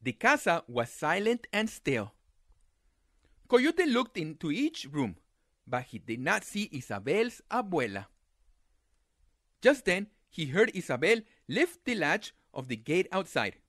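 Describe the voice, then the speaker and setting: A man reading a children's picture book aloud as a storyteller, with dramatic, deliberate phrasing. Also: sits high, sing-song, a bit bright, dry recording, clean studio sound.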